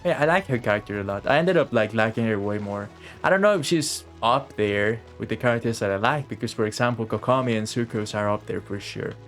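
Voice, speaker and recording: A man giving casual live commentary as a game streamer, halting and often repeating his phrases. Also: lowish voice, expressive. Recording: game music behind the voice.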